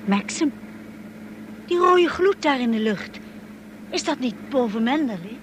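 An actress playing a devoted, wistful young wife in a radio drama, speaking in a measured and solemn way. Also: female voice, fairly high pitch, lively intonation, background noise. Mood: anxious, pleading. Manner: slow, measured, solemn delivery. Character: Mrs de Winter, devoted young wife looking back wistfully. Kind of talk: radio drama acting and narration.

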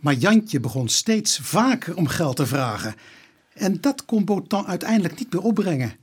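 An actor playing a matter-of-fact police investigator in a radio drama, explaining. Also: male voice, sits high, very animated, normal speed, slightly rough, exaggerated voice.

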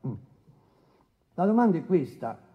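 A man acting a brusque, paternalistic presiding judge who questions haltingly and interrupts impatiently in a courtroom radio drama. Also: sits high, sing-song, lo-fi sound. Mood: probing. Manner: interrupting, hesitant filler-laden questioning, impatient.

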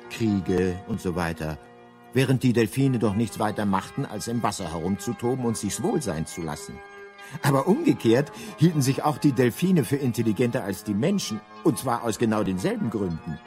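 An actor as the wry, matter-of-fact narrator of a radio play, explaining in a deadpan manner. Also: male voice, lowish voice, moderate pace, faint music behind, close to an everyday voice. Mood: wry, explanatory.

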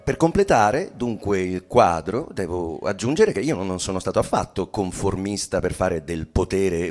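An actor playing a dead bourgeois man bitterly confessing in a theatrical monologue, with halting, weighty phrasing and sudden swells. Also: sits low, full character voice. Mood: bitter, ironic. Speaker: stage actor giving a dramatic reading. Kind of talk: theatrical monologue reading of a literary play.

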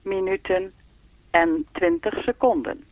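A woman playing a lonely speaking clock in a radio play, measured, formal and deadpan, through a telephone filter. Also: mid-range voice, dry recording. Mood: formal, automatic. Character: lonely, evasive female speaking-clock voice with a will of its own. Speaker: telephone time announcer. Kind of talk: radio play acting, formulaic announcement turning to dialogue. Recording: narrow, tinny phone-line sound.

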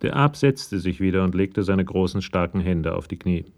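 A man narrating a radio crime drama from a script in a measured, matter-of-fact way. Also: deep voice, lively intonation. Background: music with drums.

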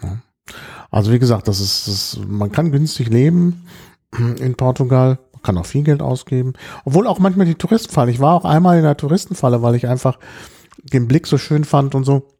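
Ein Mann, der in einem Podcast locker und mit vielen Füllwörtern von einer Reise erzählt. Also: male voice, mid-range voice, expressive, a little fast, dark tone. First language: German. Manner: chatty storyteller, many fillers. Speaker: podcast host. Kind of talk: casual chat.

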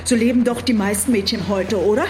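A woman's voice: mid-range voice, brisk, loud music behind the voice, lightly acted. A woman acting a brash, vain, teasing character in a radio drama, chatty and mocking. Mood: haughty, intimate.

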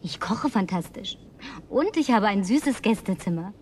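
Actress as chatty, house-proud young woman for radio drama, lonely and persuasive, medium pitch, slight rumble behind. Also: lively intonation, moderate pace, a little mellow, close to an everyday voice. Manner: coaxing, sighing, effusive. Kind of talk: German radio drama dialogue.

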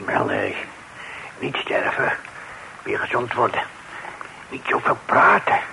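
An actor playing an odd, officious doctor in a radio drama, talking in clipped telegraphic fragments. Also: male voice, medium pitch, huge pitch swings, slow, gravelly, heavily acted. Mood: brisk. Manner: clipped telegraphic fragments without full sentences. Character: odd, officious male island doctor and deputy bailiff. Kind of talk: old radio drama acting. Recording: noisy old radio play recording.

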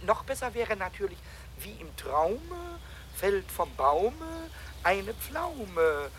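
A cheeky little kobold squeaks away in a very high, wildly swooping voice. He is excitable and childlike, stammers his words and slips into rhyme, in an old children's radio play with faint noise. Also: takes it slowly, exaggerated voice. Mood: scheming. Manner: stammering repeats, rhyming, excitable muttering and shrieking.

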